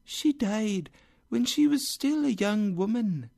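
Casting: narrator as a calm, whispery spirit guide for a storybook, gentle and thoughtful. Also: mid-range voice, slow pace, gravelly, voice pushed up, heavily acted. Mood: calm, solemn.

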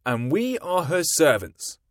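Man doing a high earnest young boy, lively, dry sound. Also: takes it slowly, dry recording, exaggerated voice.

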